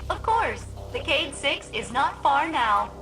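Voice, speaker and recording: High-voiced glitchy female ship AI. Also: slow pace, music in the background, exaggerated voice. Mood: chirpy, formal. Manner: formal cheer switching to sarcastic deadpan. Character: malfunctioning female ship AI, split between chirpy and sullen. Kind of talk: video game voice acting.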